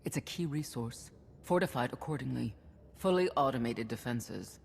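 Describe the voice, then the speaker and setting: A woman's voice: a bass voice, animated, moderate pace, vocal fry, darkish tone, slight background noise, exaggerated voice. A woman playing a cool, matter-of-fact advisor briefing on a target in a game cutscene.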